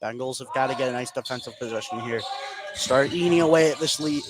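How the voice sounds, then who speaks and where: A man's voice: medium pitch, quick pace, very noisy. A man working as a color commentator on a live basketball broadcast, giving opinionated analysis in a conversational way.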